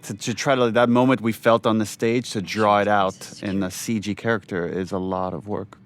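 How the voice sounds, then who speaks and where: A man's voice: fairly low pitch, flat delivery, moderate pace, slight background noise. A man giving casual, reflective commentary as a game director, thinking aloud as he talks.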